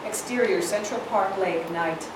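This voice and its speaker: A woman's voice: mid-range voice, a little slow. A woman reading scripted stage directions aloud at a live screenplay reading. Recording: echoey hall, distant mic, noisy.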